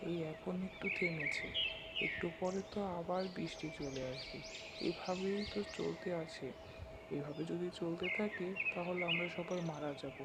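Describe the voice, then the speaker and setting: A man's voice: sits high, steady tone, moderate pace, heavy background noise. A man playing a worried, humble village husband in a cartoon, earnest and pleading. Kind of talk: Bengali cartoon character voice acting.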